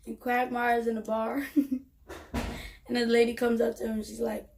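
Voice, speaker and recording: A woman's voice: on the high side, steady tone, a little slow, vocal fry, a little mellow, slight background noise. A young woman co-hosting a casual live stream who is losing her voice and keeps trailing off with lots of "like.".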